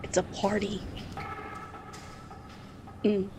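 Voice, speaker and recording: A woman chats casually as the host of a livestream. Her voice sits at a middle pitch, and she talks informally, poking fun at herself and swearing freely. There is some light noise behind her. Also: little pitch movement, very slow. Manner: informal, swearing, self-deprecating.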